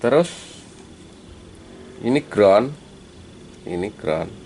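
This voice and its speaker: A man with a deep, creaky voice walks viewers through a hands-on job. He speaks informally and very slowly, pausing between steps, over a clearly noisy amateur recording. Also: expressive, darkish tone. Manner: step-by-step, halting as he works, addressing viewers as friends. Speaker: electronics repair hobbyist presenting a tutorial. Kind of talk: informal hands-on tutorial narration.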